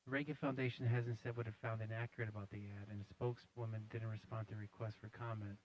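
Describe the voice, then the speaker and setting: A man's voice: fairly low pitch, level tone, quick pace. A man hosting a talk show with casual, loosely improvised, hesitant commentary. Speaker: show host. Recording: dull, roomy sound.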